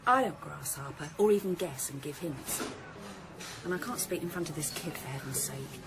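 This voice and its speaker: A woman's voice: low-pitched, animated, moderate pace. An actress in a radio drama playing an anxious, fed-up young mother who is defiant and blunt. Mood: agitated, guarded. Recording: noisy background ambience.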